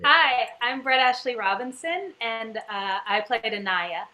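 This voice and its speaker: A woman with a high, very bright voice talks casually as a panelist on a video call. She is effusive and repeats words for emphasis. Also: unhurried, poor audio. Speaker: actor, panelist.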